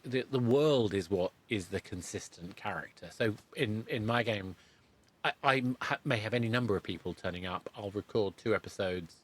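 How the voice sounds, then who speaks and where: A man hosting a podcast, interviewing conversationally and thinking aloud in a discursive way with frequent restarts. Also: fairly low pitch. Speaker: podcast host interviewing a guest.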